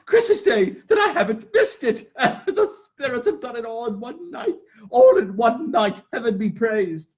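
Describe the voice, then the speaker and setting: A man's voice: sits high, big pitch swings, moderate pace. A man reading aloud and voicing an elderly miser overcome with joy, breathless and cackling, in a dramatic old-time radio style, on a dull lo-fi recording. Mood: delighted.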